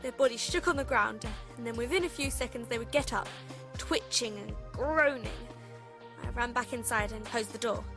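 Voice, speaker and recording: A woman's voice: fairly high pitch, normal speed, close to an everyday voice. A young woman playing a frightened, lonely schoolgirl survivor, narrating an audio drama in an anxious, confiding way. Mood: fearful recounting. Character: frightened lonely schoolgirl trapped at home in apocalypse. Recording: voice over loud music bed. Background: music.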